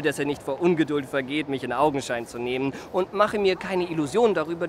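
Male actor as ingratiating young applicant, high voice, ornate courtesy, radio drama with slight ambient noise. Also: lightly acted. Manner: flowery, deferential, self-assured. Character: smooth-talking, obsequious young male job applicant. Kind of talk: radio play acting.

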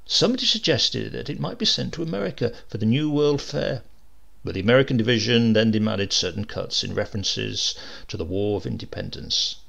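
A man reading a comic short story aloud as narrator, expressive and lively in his delivery. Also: on the low side, a little fast, vocal fry. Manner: lively, expressive reading with varied character voices. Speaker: audiobook reader.

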